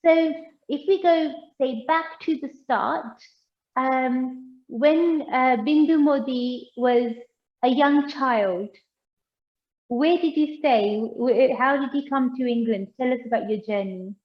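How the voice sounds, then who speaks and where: A woman's voice: sits high, slow, lo-fi sound. Gushing young woman host, echoey sound. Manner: effusive, gushing praise. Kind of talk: interview.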